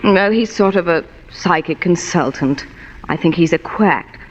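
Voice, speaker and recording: An actress playing a sultry, wealthy, teasing femme fatale in a 1940s noir radio drama, with a coy, polished manner. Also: female voice, on the low side, a little slow, slight background noise, close to an everyday voice. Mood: mock distress. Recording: muffled, reverberant old radio broadcast.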